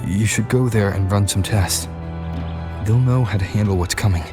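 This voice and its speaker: An actor playing a calm, thoughtful wandering hero in a video game, with a measured, reassuring manner. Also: male voice, on the low side, lightly acted. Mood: calm, advising. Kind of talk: scripted game dialogue. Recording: studio voice under prominent game music.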